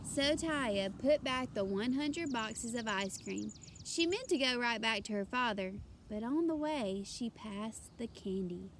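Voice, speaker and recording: High-voiced woman reading a storybook aloud. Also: expressive, measured pace, hardly any pauses, noisy background. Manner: emphatic, addresses listeners with questions. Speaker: storybook reader, teacher. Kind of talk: expressive children's read-aloud.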